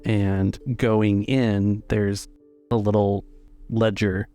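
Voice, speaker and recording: A man narrating a tabletop role-playing game as game master, describing scenes haltingly in the present tense. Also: lowish voice, level tone, dark tone, soft background music.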